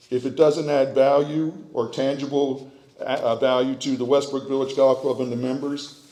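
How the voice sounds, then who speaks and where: Mellow older American man speaking in an echoey hall. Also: medium pitch. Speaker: board candidate giving a speech. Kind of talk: prepared public speech, read from notes. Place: large meeting hall with stage, indoors. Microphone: podium gooseneck microphone. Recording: echoey hall, podium microphone.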